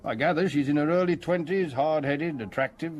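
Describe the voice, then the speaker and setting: A man's voice: fairly high pitch, fairly quick, lightly acted. An actor in an audio drama playing a dogged police inspector who reports to his chief in a precise, deferential way. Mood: matter-of-fact. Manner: methodical, exact, deferential.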